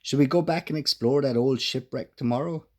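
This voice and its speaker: Man putting on an excitable, high-pitched cartoonish rooster. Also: a little fast, close to an everyday voice. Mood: eager. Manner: exclamatory, wide-eyed, eager. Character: Cocky, excitable eager young rooster sidekick.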